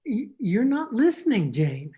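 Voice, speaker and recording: A woman playing an awkward, stuffy young male suitor, formal and halting, in old-time radio drama style, on muffled call audio. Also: a little high, big pitch swings, mellow. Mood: earnest, nervous. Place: at home, recorded over a video call.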